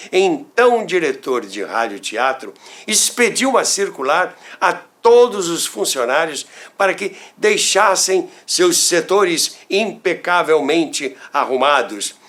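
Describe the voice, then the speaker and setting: An older man with a Brazilian accent tells anecdotes in a theatrical, deliberate way, with dramatic pauses and asides to the listener. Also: on the high side, a bit bright. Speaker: storyteller, former radio worker. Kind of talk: animated anecdotal storytelling podcast narration.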